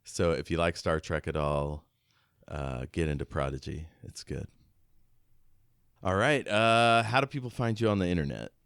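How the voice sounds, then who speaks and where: A man's voice: low voice, normal speed, vocal fry, fairly bright tone, smooth, no room sound, studio quality. A man co-hosting a podcast in casual, informal chat, with a jokey, self-deprecating manner. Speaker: podcast co-host.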